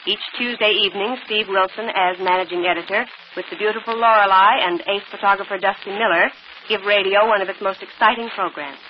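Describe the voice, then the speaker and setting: Actress as polished vintage radio assistant, muffled recording. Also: female voice, mid-range voice, brisk, natural voice. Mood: polished, introducing. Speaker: co-host introducing guests. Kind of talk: scripted 1940s radio presenting. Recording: dull old radio broadcast, hiss.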